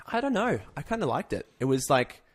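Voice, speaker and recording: A man's voice: fairly high pitch, very animated, a little fast. A man co-hosting a podcast in casual chat, with an informal, enthusiastic, sweary way of talking. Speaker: podcast host. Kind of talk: casual film chat, reviewing a list.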